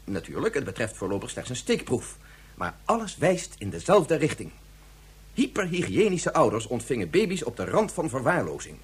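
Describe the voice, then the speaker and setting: Male scientist, radio drama. Very husky, expressive intonation, methodical and formal. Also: mid-range voice, heavily acted. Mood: insistent, explaining. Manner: formal, deliberate, argumentative. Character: earnest male scientist and father, insistent, methodical. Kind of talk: Dutch science fiction radio drama acting.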